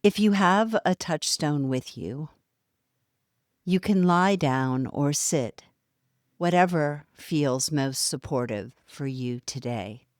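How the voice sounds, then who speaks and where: A woman guiding a yoga nidra relaxation, speaking softly and soothingly with long pauses between phrases. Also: low voice, expressive, dry recording, studio quality. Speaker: meditation guide. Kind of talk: guided yoga nidra meditation.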